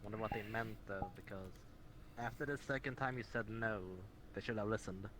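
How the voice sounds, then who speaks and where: A man's voice: lowish voice, brisk, fairly bright tone, a little hiss or hum. A man chatting in character in an improvised virtual roleplay over online voice chat. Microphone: VR voice chat microphone.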